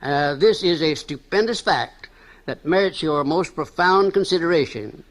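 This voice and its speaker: A man's voice: fairly high pitch, expressive, unhurried, fairly bright tone, low-quality recording. A man giving a formal, emphatic reading of a scripted text.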